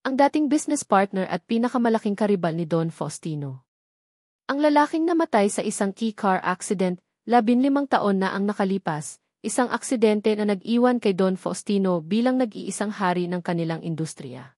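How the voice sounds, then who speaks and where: A woman's voice: mid-range voice, expressive, normal speed, a little mellow, no room sound, studio quality. A woman narrating a scripted dramatic story.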